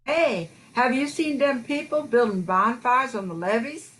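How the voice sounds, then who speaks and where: Woman as unhurried, kindly Cajun hostess. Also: a little high, fairly bright tone, low-quality recording. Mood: welcoming. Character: kindly, hospitable Cajun bayou woman hosting Christmas. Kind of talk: amateur radio play acting.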